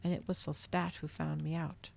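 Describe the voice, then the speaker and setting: A woman reading a novel aloud in a hushed ASMR style, slipping into whispers, close to the microphone. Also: sits low, little pitch movement, moderate pace, gravelly.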